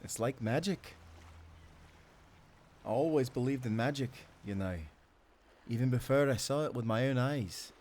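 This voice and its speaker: An actor playing a devoted, playful sailor husband with a Scottish accent, speaking tenderly in old-fashioned seafaring language for a romantic audio roleplay. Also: male voice, mid-range voice, unhurried, pauses often, lightly acted. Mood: warm, eager. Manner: tender, teasing, given to soft chuckles.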